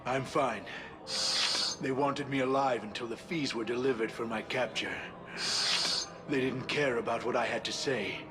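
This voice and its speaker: An actor playing an anxious but earnest informant on the run, speaking urgently in a video game. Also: male voice, medium pitch, fairly even intonation, moderate pace, pauses often, over music, close to an everyday voice. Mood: weary, earnest. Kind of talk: dramatic game voice acting.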